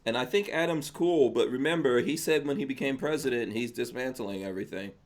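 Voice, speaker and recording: A man's voice: mid-range voice, a little fast. Male podcast host, easygoing and chatty, mid-range voice. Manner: relaxed, chatty, drifts into mumbled asides. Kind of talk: casual chat, reading viewer comments.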